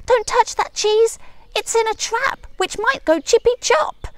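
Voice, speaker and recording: A woman's voice: a little slow, no room sound. A woman voicing a small storybook creature that gives urgent, emphatic warnings. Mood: alarmed. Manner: shrill, emphatic, cautioning. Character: watchful bird and spider shouting urgent warnings.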